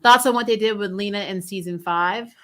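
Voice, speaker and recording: A woman's voice: mid-range voice, a little fast, fairly bright tone. A woman hosting a TV recap, chatting casually and bluntly with viewers, unfiltered and free with swearing. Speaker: host of a TV recap show, reviewer. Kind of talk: casual livestream chat answering viewer questions.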